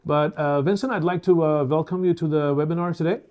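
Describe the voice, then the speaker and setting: A man in his fifties hosting a semi-formal webinar, speaking in halting, formally worded phrases. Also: a little high, steady tone, clear, resonant tone, dull audio. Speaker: webinar host.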